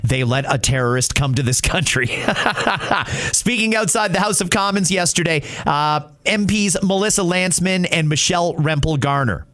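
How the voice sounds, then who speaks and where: Male talk host, high-pitched, indignant, firing off rhetorical questions. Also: normal speed, a bit bright. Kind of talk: political commentary.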